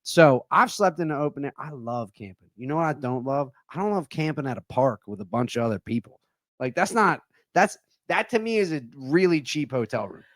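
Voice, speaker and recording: A man's voice: medium pitch, lively intonation, fairly quick, fairly bright tone, dry recording, studio quality. A man from Baltimore co-hosting a casual chat, swearing freely and laughing as he tells stories. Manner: profane, laughing, storytelling. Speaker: podcast co-host.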